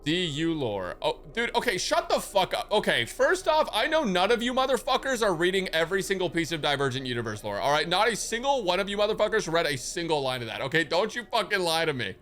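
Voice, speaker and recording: A young man gives casual live game commentary. His voice is bright, fairly high and very animated, full of swearing and banter over game music. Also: fast talker, few pauses. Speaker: streamer reacting to a game.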